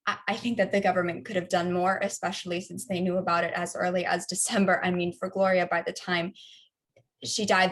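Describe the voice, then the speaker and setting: A restrained woman in mourning, played by an actress in a documentary play. Her medium-pitched voice halts and stumbles as she speaks conversationally. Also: close to an everyday voice. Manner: halting, hesitant, stammering. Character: grieving woman who lost a friend, restrained. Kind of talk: documentary theater monologue, conversational.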